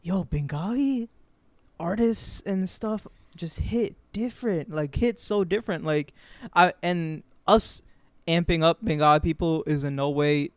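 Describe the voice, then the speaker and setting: A young American man talks casually as a podcast co-host. His voice sits a bit high and sounds very clean and smooth, with almost no room sound. He is slangy and emphatic in an earnest way. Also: unhurried, no room sound.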